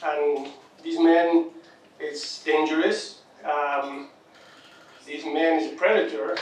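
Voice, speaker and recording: A man from Argentina with an Argentine Spanish accent, a native Spanish speaker, addressing a court as a crime victim in a halting, upset way, often repeating words. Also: sits high. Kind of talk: impassioned victim statement. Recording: echoey courtroom audio from a distant mic.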